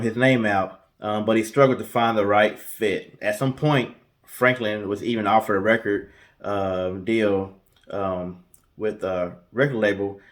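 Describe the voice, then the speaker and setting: Man, fairly low pitch, casual storyteller, halting delivery, show co-host. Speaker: co-host telling stories in a guessing-game show.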